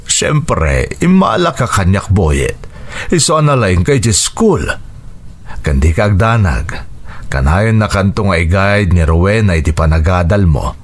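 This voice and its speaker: Man acting a deep-voiced, animated, loving father. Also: a little slow, full character voice. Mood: affectionate, coaxing. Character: affectionate older widowed father courting a younger woman. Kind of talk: Ilocano radio drama acting.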